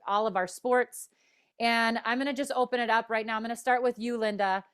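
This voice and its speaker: An enthusiastic woman with a Minnesota accent, her voice sitting a little high. She leads a panel conversation over a video call, cheering on her guests as she introduces them. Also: a bit bright. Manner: upbeat, enthusiastic, quick restarts. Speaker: host. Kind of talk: panel interview hosting.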